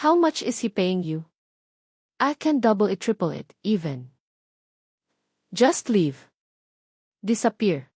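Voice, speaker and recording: A woman's voice: medium pitch, sing-song, slow, long pauses, a little mellow, slightly rough, no echo, clean studio sound, full character voice. A woman voicing a haughty, sophisticated rich fiancée with a condescending, cutting manner in an audio drama. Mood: menacing, dismissive. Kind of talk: audio drama villain dialogue.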